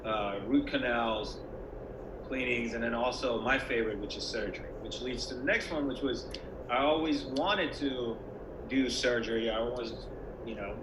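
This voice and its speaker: Male dentist, mid-pitched, informal explainer for students, hesitant and conversational. Roomy echo with noticeable background noise. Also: normal speed. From born and raised in Iran until age ten, then the United States. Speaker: dentist, guest presenter. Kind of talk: informal online presentation to students. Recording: echoey room with clear background noise.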